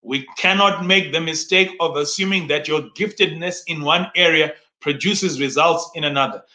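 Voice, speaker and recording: A man's voice: on the high side, moderate pace, sharp tone. A man preaching in an instructive, motivational style, speaking emphatically and often posing questions that he answers himself. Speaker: preacher and teacher. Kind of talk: motivational sermon teaching. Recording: echoey, roomy sound.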